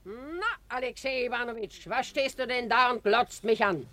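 A woman's voice: fairly high pitch, fairly even intonation, moderate pace, a crowd in the background, lightly acted. A woman playing a blunt, imperious old Russian aunt in a German radio drama, commanding and mocking, often repeating her words. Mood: brisk, mocking. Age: older.

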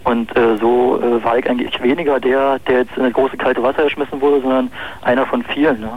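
A man's voice: mid-range voice, flat delivery, fairly quick. A young man with a Berlin accent calling in to a radio show, talking hesitantly with many fillers. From East Berlin. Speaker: caller. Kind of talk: phone-in chat. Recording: muffled phone-in radio line.

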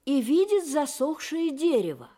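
Lively, unrushed woman storyteller. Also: high-pitched, measured pace. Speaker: storyteller, narrator. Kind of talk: scripted fairy-tale narration for children.

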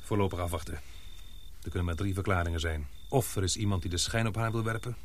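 A man acting in a radio play as a grave, suspicious expedition member, speaking earnestly and deliberately. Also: sits low, fairly even intonation, a little hiss or hum, lightly acted. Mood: ominous, resolute. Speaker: radio drama actor.

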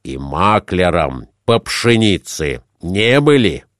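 A man voicing a blunt, suspicious merchant in a storybook reading, questioning in a measured, pointed and persistent way. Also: sits low, very animated, a bit bright, dry recording, clean studio sound, heavily acted. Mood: persistent, needling.

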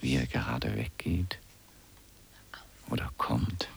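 A suspicious, brooding man murmurs an inner monologue in a radio drama. His voice is very low and heavily gravelled with fry, over faint noise. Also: lively intonation, takes it slowly, exaggerated voice. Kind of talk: German radio play acting.

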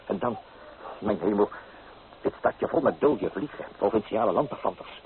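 An actor playing an impetuous, romantic young student lover, coaxing and dramatic, in a radio play. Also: male voice, fairly low pitch, expressive, moderate pace, lightly acted. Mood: scornful. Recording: tinny, noisy old radio play.

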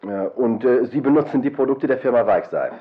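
A man's voice: mid-range voice, fairly quick, natural voice. A man playing a formal, officious company representative in a children's radio play, stiffly polite with hesitant little interjections. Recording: dull, lo-fi radio play recording.

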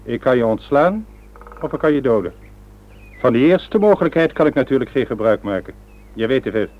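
Guy acting posh ruthless villain in an old radio drama, bossy n coolly deliberate, bit muffled. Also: mid-range voice, lively intonation, close to an everyday voice. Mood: cold menace. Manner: imperious, self-justifying, coolly deliberate. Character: cold, ruthless upper-class villain, commanding and smooth-tongued. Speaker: actor in a radio play.

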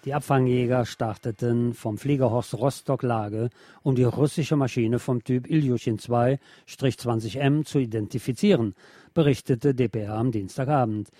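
A man's voice: medium pitch, fairly even intonation, normal speed, warm tone, no echo, clean studio sound. A man reading scripted political news and commentary as a radio presenter.

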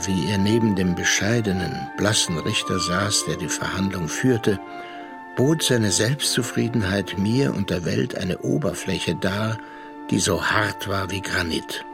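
An actor in a German radio drama playing a worldly, sardonic narrator, telling his story in a measured, reflective way. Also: lowish voice, creaky. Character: sardonic, worldly gentleman narrator recounting a sea inquiry.

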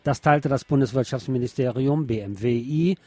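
A male radio presenter reading out press reports and commenting on them in a deliberate, emphatic way. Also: mid-range voice, mellow, no room sound. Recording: music behind the voice. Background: background music.

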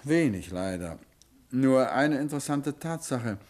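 An actor playing a composed, inquisitive detective and affectionate husband with dry understatement in a 1950s radio play. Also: male voice, mid-range voice, animated, measured pace, darkish tone, close to an everyday voice. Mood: businesslike.

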